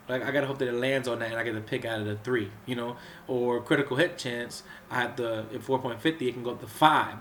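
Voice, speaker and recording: A man's voice: mid-range voice, fairly bright tone, slight background noise. Man with a cold, rambling through a game. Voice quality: sounds a bit off from a cold. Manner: rambling, with many fillers and restarts. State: under the weather, coughing and clearing his throat. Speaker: gaming channel host. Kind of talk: casual gameplay walkthrough commentary.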